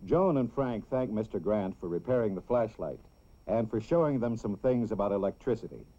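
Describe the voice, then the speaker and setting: A man narrates a scripted educational film for children in a measured, clearly explanatory way. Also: fairly low pitch, expressive, dark tone, a little hiss or hum. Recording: dull, lo-fi old film soundtrack.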